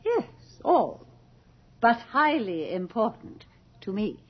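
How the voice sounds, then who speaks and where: An actress in a radio drama playing an imperious, callous society hostess with a haughty, theatrical, commanding way of speaking. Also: female voice, a little high, sing-song, slow pace, full character voice. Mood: brisk, imperious. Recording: dull 1980s radio drama recording.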